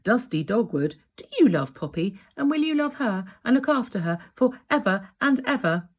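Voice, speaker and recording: A woman's voice: a little high, sing-song, moderate pace, pitched above the performer's own voice. A woman doing a kindly old vole officiant. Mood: solemn.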